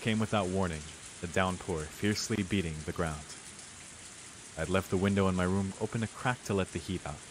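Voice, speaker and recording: A young man with a low, deep voice reads visual novel narration aloud as a let's player, with casual reactions. Rain and hiss are clearly heard behind him. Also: fairly quick.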